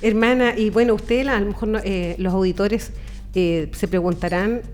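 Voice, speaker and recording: A woman's voice: mid-range voice, fairly even intonation, normal speed, a little mellow. Chatty Chilean woman hosting over music. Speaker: radio program host. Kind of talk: friendly conversational interviewing. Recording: music bed under the voice. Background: background music.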